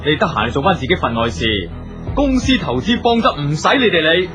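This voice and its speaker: An actor playing a bitter, suspicious eldest brother, a wheelchair-bound company boss, scornful and domineering in a radio drama. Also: male voice, fairly high pitch, very animated, a little fast. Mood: domineering, angry. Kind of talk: Cantonese radio drama acting. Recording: dull radio drama recording, music behind.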